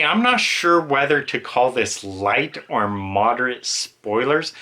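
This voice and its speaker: A man's voice: medium pitch, sharp tone. A man giving an informal, rambling, self-aware book review as a reviewer talking casually on video.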